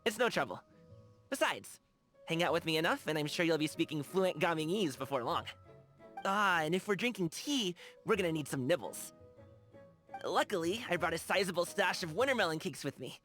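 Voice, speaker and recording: Game role: cheerful, earnest young guy, casual and slangy, very lively pitch, music behind. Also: normal speed. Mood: cheerful, eager. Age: young adult. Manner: casual, chatty, slangy, eager. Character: cheerful, earnest young male lion-dancer and tea lover. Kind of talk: animated video game character dialogue.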